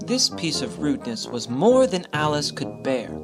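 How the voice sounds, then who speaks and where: A woman narrates a storybook tale in a low voice. Her delivery is scripted and very animated in pitch, with music clearly playing behind her. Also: moderate pace.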